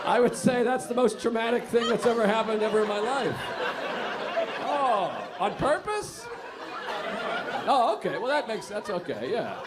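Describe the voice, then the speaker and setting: High-pitched, animated stand-up comedian. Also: male voice, normal speed, pauses often. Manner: teasing, animated, laughing through his lines. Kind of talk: stand-up crowd work. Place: comedy club stage with a live audience. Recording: echoey club with loud crowd noise.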